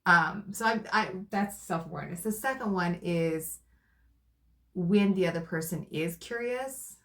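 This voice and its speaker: A woman in casual conversation with her partner, thoughtful and soft-spoken. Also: on the low side, unhurried. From Arizona, USA. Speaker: co-host.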